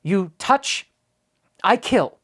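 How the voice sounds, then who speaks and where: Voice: mid-range voice, very animated, very slow, pitched above the performer's own voice. A storybook reader voicing a tiny, fierce warrior man who grunts short, clipped phrases. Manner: grunted, clipped broken speech.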